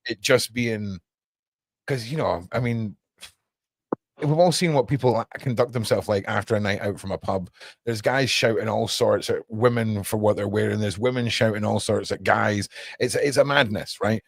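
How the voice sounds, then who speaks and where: A man's voice: on the low side, moderate pace, vocal fry, dry recording. A British man hosting a casual online panel, talking in a rambling, repetitive way and arguing jokingly. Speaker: host of an online panel show. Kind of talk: casual chat.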